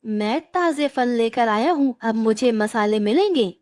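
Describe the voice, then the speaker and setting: A woman's voice: fairly high pitch, lively intonation, measured pace, a little mellow. A woman voicing a caring, helpful male crow in a cartoon moral story. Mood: pleased. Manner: earnest, helpful.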